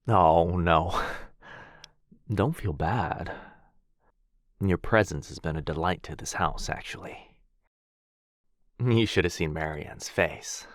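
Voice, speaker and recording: A man playing a courteous, gentle, centuries-old vampire lord in a soft, breathy ASMR roleplay, hesitant and genteel in manner. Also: low voice, slow, pauses often, dry recording, studio quality. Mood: warm, courteous. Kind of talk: boyfriend ASMR roleplay acting.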